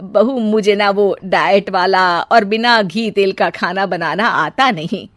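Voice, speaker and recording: A woman's voice: mid-range voice, takes it slowly, no room sound, studio quality, close to an everyday voice. A woman voicing a kindly older mother-in-law with a motherly manner in a cartoon story. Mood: gently protesting. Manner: soothing, motherly.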